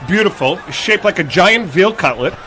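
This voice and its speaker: A man's voice: high voice, expressive, normal speed. A man ad-libbing comic live commentary as co-host of a radio parade broadcast, outdoors. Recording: outdoor broadcast, loud street noise.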